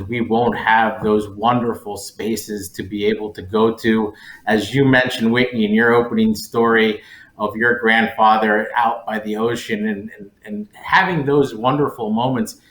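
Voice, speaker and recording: A man's voice: fairly low pitch, fairly bright tone. A man speaking conversationally as a panelist. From Colombia-born, raised in West Virginia, United States. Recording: roomy, echoey sound.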